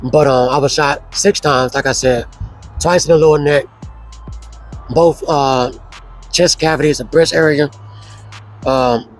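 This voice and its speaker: A man with a hoarse voice, casually telling his life story as an interview guest, slow and relaxed with many fillers. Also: on the high side, faint music behind, rough recording.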